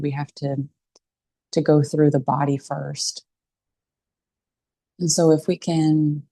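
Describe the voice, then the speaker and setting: A woman teaching a guided meditation in a calm, soothing, unhurried way with pauses between phrases. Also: sits low, vocal fry, dry recording.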